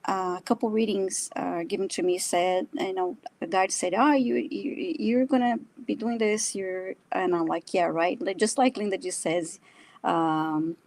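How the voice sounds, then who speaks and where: A woman's voice: mid-range voice, fairly even intonation, measured pace, a little mellow, dry recording. Hesitant woman with a Brazilian Portuguese accent. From São Paulo, Brazil. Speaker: guest, animal communicator and energy healer. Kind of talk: casual personal storytelling in a live chat.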